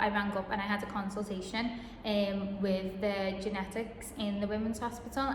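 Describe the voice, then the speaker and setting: A woman's voice: mid-range voice, echoey room, big-room sound, a little hiss or hum. A woman tells a personal story to camera, chatting with many ums and restarts. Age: 20s. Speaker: vlogger.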